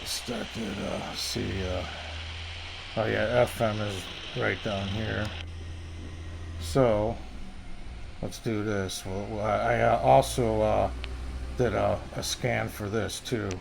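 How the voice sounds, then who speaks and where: A man's voice: fairly low pitch, steady tone, slow, gravelly, long pauses, background noise. A man casually reviewing and demonstrating a product in a rambling, folksy way. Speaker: radio reviewer. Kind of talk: casual hands-on product demo.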